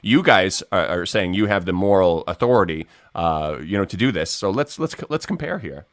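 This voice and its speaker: A man discussing politics casually as a livestream co-host, earnest and halting as he argues. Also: low voice, lively intonation, no echo, studio quality.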